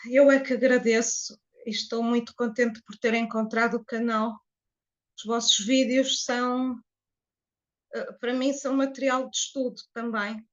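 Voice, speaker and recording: A woman in her late fifties from Portugal with a Portuguese accent, calmly telling her life story as an interview guest with frequent hesitations. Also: on the high side, lo-fi sound. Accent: Portuguese (European Portuguese). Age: 50s. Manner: reflective, unhurried, with hesitations. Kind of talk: personal testimony in an interview.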